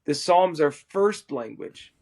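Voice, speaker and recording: Man, middle-aged, guest worship musician, animated storyteller, self-amused, slightly raised pitch. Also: takes it slowly, vocal fry. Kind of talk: personal storytelling in conversation.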